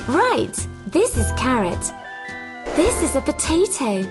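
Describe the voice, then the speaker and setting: A woman voicing a kind, gentle, helpful young woman in a children's fairy tale, speaking in a sweet, earnest, polite way. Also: high voice, very animated, slow, music in the background, exaggerated voice. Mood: cheerful.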